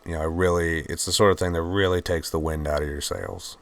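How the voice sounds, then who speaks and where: A man with a mixed Virginian and Georgian Southern accent, hosting his channel and talking in a subdued, halting, heartfelt way. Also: sits low, steady tone, creaky. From Virginia, USA. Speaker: channel host. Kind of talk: reflective personal talk to camera.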